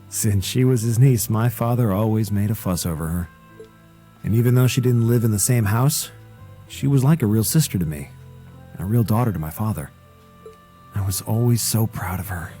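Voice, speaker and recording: Game role: guarded, earnest young man, male actor, soft dark tone, measured and articulate storyteller. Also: lowish voice, natural voice. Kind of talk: video game voice acting, solemn storytelling.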